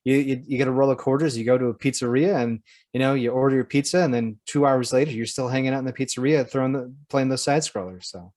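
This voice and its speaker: A man's voice: medium pitch, fairly even intonation, quick pace, few pauses, a little mellow. Casual male podcast co-host. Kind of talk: casual pop culture chat.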